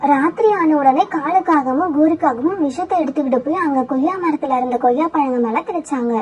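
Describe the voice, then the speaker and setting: A woman narrating a children's cartoon story in a steady, even way. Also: sits high, a little fast, dull audio.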